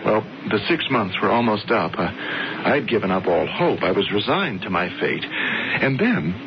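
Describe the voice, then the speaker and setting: An actor playing a lovestruck, hapless American sculptor in a radio drama, flustered and plaintive, with a stammering delivery. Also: male voice, on the low side, very animated, unhurried, noisy background. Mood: wistful. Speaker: actor in a radio mystery play. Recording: dull radio recording, music behind.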